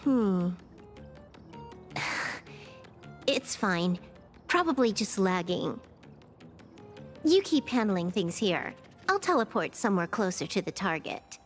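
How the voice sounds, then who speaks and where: Game hacker heroine, young, cocky, nonchalant, unhurried drawl, animated intonation, music behind. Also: female voice, medium pitch. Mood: nonchalant, mildly annoyed. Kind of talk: scripted video game character acting.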